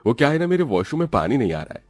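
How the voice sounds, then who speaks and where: A man's voice: mid-range voice, very animated, very fast, darkish tone, no echo, voice pushed up. An easygoing elder brother and husband in a family cartoon voiced by a man. He speaks in an everyday male voice, recorded close and dry. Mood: friendly, casual. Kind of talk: cartoon story character dialogue.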